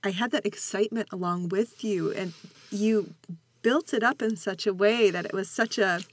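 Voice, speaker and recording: A woman's voice: medium pitch, normal speed, no room sound. A woman hosting a conversational podcast interview in an encouraging, attentive manner.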